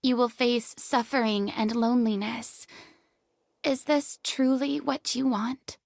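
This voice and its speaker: A woman voicing a young female performer in a game drama, measured and emotive, tender and sorrowful. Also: a little high, fairly even intonation, creaky, darkish tone, no room sound, studio quality. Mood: hesitant, solemn. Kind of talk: English game voice acting, theatrical drama. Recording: music behind the voice.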